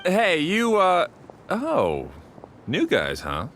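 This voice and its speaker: A man's voice: high-pitched, huge pitch swings, drawn-out words, background noise, exaggerated voice. A man voicing a laid-back, mildly snarky caretaker with a hesitant, slangy manner in a video game. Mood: surprised. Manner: hesitant slangy drawl with filler words.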